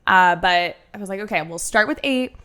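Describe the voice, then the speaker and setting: A woman bartender with a nasal voice, chatting casually on a podcast and telling animated stories with quoted asides. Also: medium pitch, moderate pace, clean tone. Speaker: bartender and cocktail menu creator.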